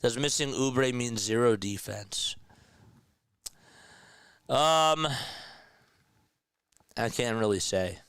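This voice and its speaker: Man, casual live basketball play-by-play, slightly raspy and nasal from a sore throat, smooth resonant tone, dry close mic. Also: medium pitch, extremely slow, pauses often, a bit bright, no echo. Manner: conversational, reads and answers chat comments. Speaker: play-by-play commentator.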